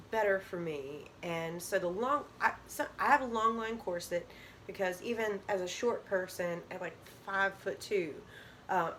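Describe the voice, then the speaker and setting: A woman's voice: lowish voice, fairly bright tone, a little hiss or hum. Woman with a strained, fading voice. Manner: rambling, many ums and restarts. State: losing her voice. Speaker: vlogger. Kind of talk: casual product talk to camera.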